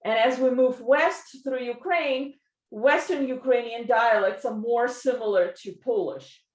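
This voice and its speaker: A woman's voice: a little high, a little slow. Woman lecturing in an echoey room. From Soviet Union. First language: Russian. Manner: explanatory, many fillers and restarts. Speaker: guest lecturer. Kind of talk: academic presentation.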